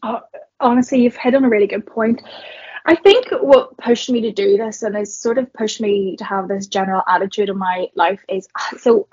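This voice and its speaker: A husky young woman with a Northern Irish accent talks things through in a thoughtful, conversational way. Her voice sits dry and close on a somewhat dull, lo-fi recording. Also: medium pitch, normal speed, darkish tone, no echo. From Northern Ireland. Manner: reflective, many fillers and restarts. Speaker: guest, marketer and entrepreneur. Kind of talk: conversational podcast interview.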